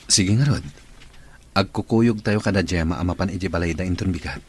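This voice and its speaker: A man's voice: low voice, a little fast, slight background noise, lightly acted. A man acting as a devoted, reassuring suitor in an audio drama. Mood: concerned. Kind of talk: Ilocano radio-style drama acting. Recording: music under the voice. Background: music.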